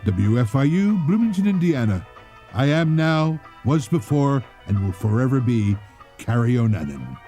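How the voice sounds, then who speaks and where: A man's voice: mid-range voice, very animated, moderate pace, dark tone, faint music behind. A man reading scripted radio station identification spots. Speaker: station announcer.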